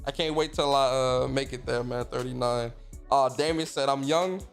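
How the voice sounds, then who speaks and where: A man in his thirties hosting a casual gaming livestream, reading out viewer comments and giving loose, profane shout-outs to the chat. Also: mid-range voice, moderate pace, vocal fry, soft background music. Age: 30s. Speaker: streamer and podcast host.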